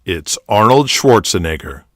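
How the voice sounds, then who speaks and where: A man's voice: lowish voice, animated, unhurried, a bit bright. A man reading scripted dramatic narration as the narrator of an audio drama. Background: music.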